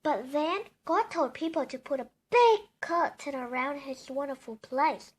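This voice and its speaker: Very high-pitched female voice, slowly reading a story. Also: expressive. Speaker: storybook reader for children. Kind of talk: read-aloud bedtime story narration.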